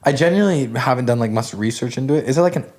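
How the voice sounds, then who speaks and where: A man's voice: medium pitch, racing, a little mellow. A young man co-hosting a podcast in casual chat, laid-back and rambling, full of "like" fillers. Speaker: podcast co-host.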